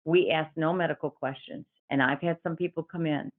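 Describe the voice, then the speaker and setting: A woman's voice: sits low, steady tone, brisk. Woman explaining on a tinny line. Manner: patient, explanatory, quotes clients in their own words. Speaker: Medicare insurance advisor. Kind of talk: explaining in an interview.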